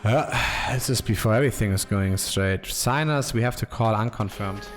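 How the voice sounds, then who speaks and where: A man streaming himself coding, thinking aloud in a slow, halting way and reading code out as he types. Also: fairly low pitch, soft background music. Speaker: programmer streaming live coding.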